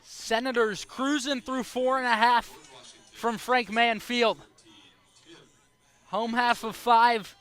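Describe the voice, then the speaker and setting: A young American man with a high, bright voice calls baseball play-by-play. He takes his time between phrases, describing the game as a broadcast announcer. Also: fairly even intonation, slow, long pauses, smooth, dry recording, soft background music. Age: young adult. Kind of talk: live baseball radio and TV play-by-play commentary.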